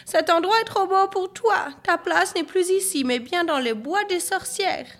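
Storybook fairy mother, played by a female reader: high, shrill, strict, quick to scold. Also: animated, fairly bright tone, faint music behind. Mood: furious, shouting.

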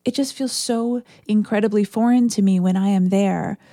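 A woman's voice: mid-range voice, steady tone, normal speed, dark tone, no room sound, clean studio sound. A woman with a Canadian accent hosting a podcast with reflective narration. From Ontario, Canada. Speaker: podcast host, reverend.

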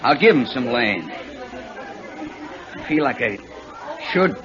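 A man's voice: medium pitch, wildly swooping pitch, slow pace, fairly bright tone, exaggerated voice. An actor playing an emotional, blunt frontier father in a 1950s radio western. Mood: softened. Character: gruff, hot-tempered frontier father who softens. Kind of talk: 1950s radio drama acting. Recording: muffled vintage radio recording, crowd murmur.

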